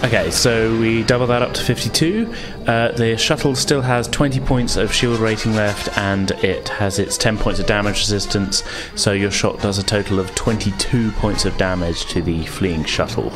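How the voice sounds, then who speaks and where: A man's voice: fairly low pitch, steady tone, moderate pace, few pauses. A man running a tabletop role-playing game as game master, describing the action in a conversational way. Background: music.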